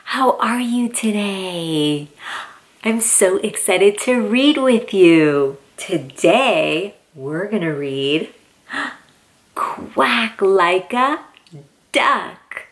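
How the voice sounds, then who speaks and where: A woman in her thirties reading a picture book aloud to young children in an excited, encouraging, exaggerated way. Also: medium pitch, very slow. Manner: exaggerated, sing-song animal noises and questions. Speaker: children's read-aloud host. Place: indoor room with plain wall.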